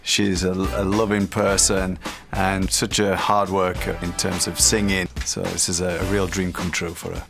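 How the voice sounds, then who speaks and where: A man's voice: low voice, level tone, moderate pace, gravelly. A middle-aged man speaking casually in an interview, as a proud parent of a contestant. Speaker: contestant's father. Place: TV studio set. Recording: music playing behind the voice.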